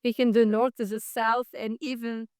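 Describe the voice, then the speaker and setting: A woman's voice: a little high, level tone, moderate pace, darkish tone, clean tone, dry recording, clean studio sound. A woman from France, a guest in an interview, explaining at length in a fluent, casual way with some fillers. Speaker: guest, tour guide.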